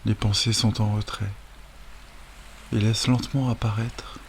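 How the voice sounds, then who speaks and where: A man guiding a sleep meditation as a yoga nidra teacher, speaking calmly and soothingly with long, unhurried pauses. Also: lowish voice. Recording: steady wave noise over the voice. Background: sound of ocean waves.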